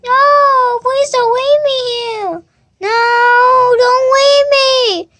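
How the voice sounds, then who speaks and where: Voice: extremely high pitch, fairly even intonation, drawn-out words, background noise, pitched above the performer's own voice, heavily acted. A young female amateur voicing an insecure, clingy cartoon unicorn critter in a whiny, pleading, melodramatic way. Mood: distraught, pleading. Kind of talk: amateur character voice acting.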